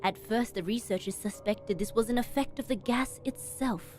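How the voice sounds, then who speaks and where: A woman streamer reading a horror story aloud in expressive, dramatic narration with emphatic pauses. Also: a little high. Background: background music.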